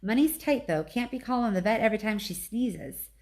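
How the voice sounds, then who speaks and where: A woman voicing a gruff, stingy man in charge in a storybook, talking in clipped, dismissive grumbles. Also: fairly high pitch, lively intonation, fairly quick, darkish tone, voice pushed up, natural voice. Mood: grumbling.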